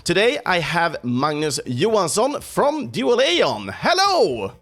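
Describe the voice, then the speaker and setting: Brief: upbeat male podcast host, fairly high voice, very animated intonation. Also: slow. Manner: upbeat, enthusiastic, often laughing. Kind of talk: casual podcast interview.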